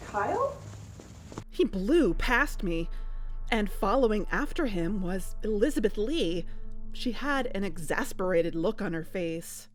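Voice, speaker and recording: An uneasy, watchful woman leads a scripted audio drama. Her voice sits at a middle pitch, with faint music behind it. She speaks as someone quietly investigating and on her guard. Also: big pitch swings, unhurried. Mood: tense recounting. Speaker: narrator and lead character of an audio drama. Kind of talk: scripted first-person narration and dialogue.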